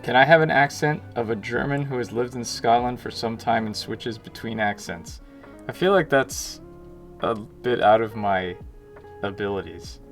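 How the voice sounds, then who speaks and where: A man's voice: mid-range voice, moderate pace, faint music behind. A young man chatting casually on his livestream from a small room at home, self-mocking and greeting viewers by name. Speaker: indie game developer streaming.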